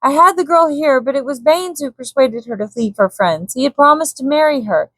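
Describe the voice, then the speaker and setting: A woman's voice: high voice, animated, a little fast, no room sound, voice pushed up. A woman voicing a frightened, scheming Swedish villain in a storybook reading, pleading and making excuses. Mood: pleading, fearful. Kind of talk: dramatic storybook character voice.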